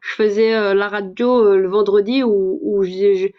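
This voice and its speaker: A woman's voice: mid-range voice, steady tone, normal speed, muffled recording. A French woman hosting a livestream, outspoken and hesitant with many fillers, speaking with a Parisian French accent. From France. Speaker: livestream host and author. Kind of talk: casual livestream talk.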